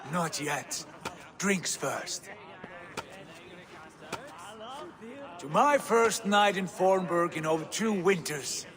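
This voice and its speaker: A boisterous, ambitious Viking chieftain's son, speaking slowly and grandly in a slightly raised voice. He holds the floor with hearty command. A loud feasting crowd fills the background. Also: high voice. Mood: warm. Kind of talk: video game cutscene acting.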